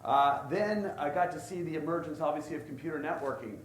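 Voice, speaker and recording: A man's voice: fairly high pitch, brisk. Casual man speaking on a conference stage. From Silicon Valley, California. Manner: casual, anecdotal, many "uh" fillers. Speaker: guest speaker, investor. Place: large conference hall. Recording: roomy hall, faint ambience.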